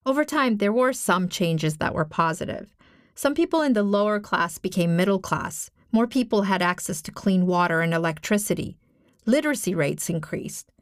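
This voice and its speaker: A woman reporter from Afghanistan, a native Farsi speaker, narrating a documentary in a measured, serious way. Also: medium pitch, lively intonation, no room sound, clean studio sound. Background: music.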